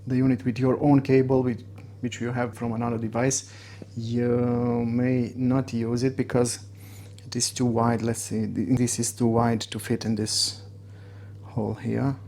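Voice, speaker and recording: Mellow, gravelly Romanian-accented man. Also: mid-range voice, flat delivery. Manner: halting, searching for words. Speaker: motorcycle gear reviewer. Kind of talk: casual unboxing and demo talk.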